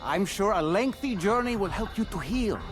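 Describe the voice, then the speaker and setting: A man's voice: sits high, lively intonation. A man acting in a video game as a living doll, a former spirit medium with a courtly, dramatic, encouraging manner. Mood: encouraging, excited. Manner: theatrical, courteous, deliberate. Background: background music.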